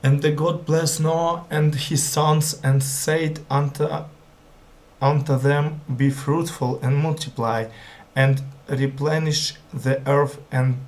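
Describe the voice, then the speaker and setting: Slow, hesitant non-native English reader, male. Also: a little high, steady tone. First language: not English. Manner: hesitant, stumbling, repeats words. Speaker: language learner. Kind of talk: halting read-aloud of scripture.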